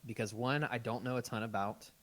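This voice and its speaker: A man's voice: mid-range voice, fairly even intonation, fairly quick, fairly bright tone, slight background noise. A man hosting a podcast, chatting casually. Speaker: podcast host.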